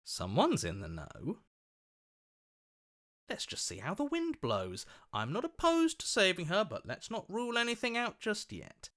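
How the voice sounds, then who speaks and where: A man voicing a scheming, flattering opportunist in a video game, with an oily, ingratiating and theatrical delivery. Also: sits high, unhurried, full character voice. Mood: sly, noncommittal.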